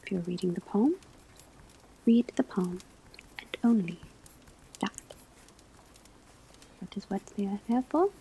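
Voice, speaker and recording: A woman playing a patient, formal witch-school professor in an ASMR classroom roleplay, whispering a measured, precise lecture. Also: medium pitch, expressive, mellow, slightly rough, heavily acted, rough recording. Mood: calm, instructive. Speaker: divination teacher in a roleplay.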